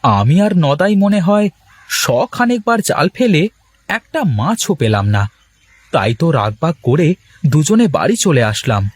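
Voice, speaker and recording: A man's voice: a little high, very animated, measured pace, no echo. A man playing a weary village fisherman husband in a cartoon, grumbling and teasing in a colloquial, theatrical way. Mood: dejected. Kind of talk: Bengali cartoon moral-story dialogue.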